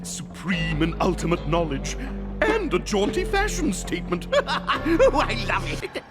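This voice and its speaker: A theatrical crocodile monarch, villainous and full of himself. The pitch leaps extravagantly between a low purr and shrill heights, and the delivery is drawn-out and grandiloquent. Cartoon score plays clearly behind the voice. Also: male voice, wildly swooping pitch, full character voice. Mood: gleeful scheming. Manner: pompous, grandiloquent, exasperated with underlings. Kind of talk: exaggerated cartoon villain acting. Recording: cartoon soundtrack with music behind.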